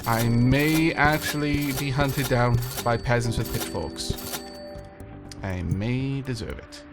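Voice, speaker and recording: Lively man, slightly snuffly. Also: mid-range voice. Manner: chatty, playful, talks to himself and the game's tenants. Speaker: let's play host. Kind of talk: casual gameplay commentary. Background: game music.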